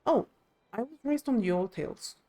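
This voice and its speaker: Woman doing a loyal young villager from a video game, thoughtful, measured, explains stuff, mellow voice. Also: a little high, lively intonation, natural voice. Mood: earnest, steady. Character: thoughtful young villager, steadfast ally of the heir.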